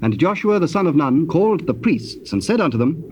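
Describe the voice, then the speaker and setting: A man narrating a dramatized scripture, formal and measured in his storytelling. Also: a little high, very animated, a little mellow. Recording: dull old cassette, faint noise.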